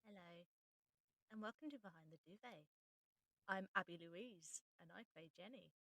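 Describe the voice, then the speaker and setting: A woman's voice: lowish voice, normal speed, bright, forceful tone, no room sound, poor audio. A woman chatting casually and playfully as a cast host, prone to giggling. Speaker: voice actress, host of cast chat. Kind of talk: casual chat.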